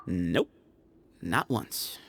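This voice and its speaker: Wry young man with a creaky voice. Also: low voice, very animated, very slow, background noise, full character voice. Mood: wry, relaxed. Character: cool, wry young man of a desert temple. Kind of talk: video game voice acting.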